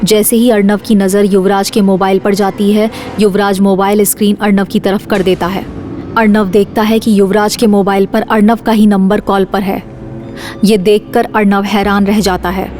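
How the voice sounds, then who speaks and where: A woman's voice: medium pitch, flat delivery, normal speed, darkish tone, soft background music. A woman reading scripted suspense narration for an audio drama series.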